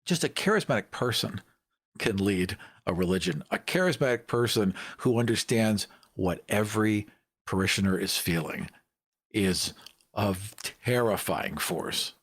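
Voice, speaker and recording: A man's voice: fairly low pitch, animated, a little slow, fairly bright tone. A man talking casually as a panelist in a discussion about tabletop gaming.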